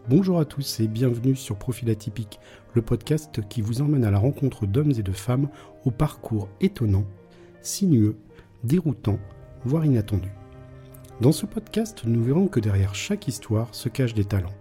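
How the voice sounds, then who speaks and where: A man's voice: mid-range voice, expressive, moderate pace, dark tone, faint music behind. A man hosting an interview podcast, delivering prepared introductions and short questions. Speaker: podcast host.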